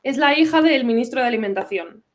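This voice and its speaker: A woman from Madrid, a historian and novelist, talking about her work in a relaxed, chatty way as a podcast guest. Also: a little high, fairly quick. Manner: relaxed, chatty, laughs easily. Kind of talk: casual interview.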